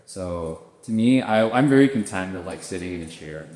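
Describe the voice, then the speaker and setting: A young man hosts a casual podcast conversation and thinks out loud with plenty of fillers. His pitch moves around a lot as he talks. The room gives his voice a roomy echo with a long tail. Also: moderate pace. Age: young adult. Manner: relaxed, thinks aloud with many fillers. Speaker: podcast host. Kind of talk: casual chat. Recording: echoey room with long reverb.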